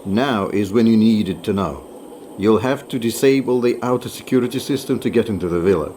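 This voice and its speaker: A man's voice: lowish voice, brisk, mellow, natural voice. Sardonic, world-weary arms dealer played by a man, noisy recording. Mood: wounded, weary. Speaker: voice actor. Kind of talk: video game cutscene acting.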